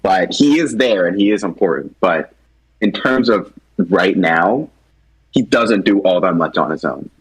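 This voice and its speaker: A man's voice: low-pitched, animated, unhurried, breathy edge, rough recording. A man talking informally and conversationally, co-presenting a creative project in unscripted discussion.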